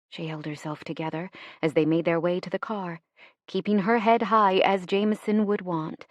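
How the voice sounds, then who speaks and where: A woman reading fiction as an audiobook narrator in an expressive, measured, dramatic storytelling style. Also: on the low side, no room sound.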